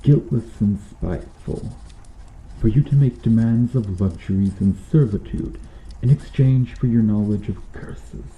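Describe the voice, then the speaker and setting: A man voicing a cruel, bitter medieval knight in a slow-burn ASMR roleplay, speaking with brooding, deliberate menace. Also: fairly low pitch, roomy sound, lo-fi sound. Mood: scornful, brooding. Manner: brooding, menacing, deliberate delivery with dropping hushed phrases.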